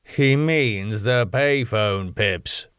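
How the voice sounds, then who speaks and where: A man's voice: on the low side, very slow, fairly bright tone, clean tone, dry recording. Man acting a melodramatic, world-weary ballroom dancer. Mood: dry, weary. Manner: dramatic, theatrical.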